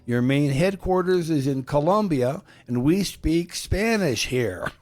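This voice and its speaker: Slow-speaking male pastor, measured pauses. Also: fairly high pitch, lively intonation, darkish tone. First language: English. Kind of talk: bilingual sermon-style talk.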